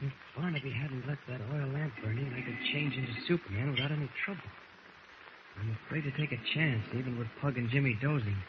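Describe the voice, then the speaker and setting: A man's voice: medium pitch, fast, lightly acted. An actor playing a mild, evasive man with a secret identity in an old radio drama. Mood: secretly musing. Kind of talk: 1940s radio acting. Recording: dull, very hissy old radio recording.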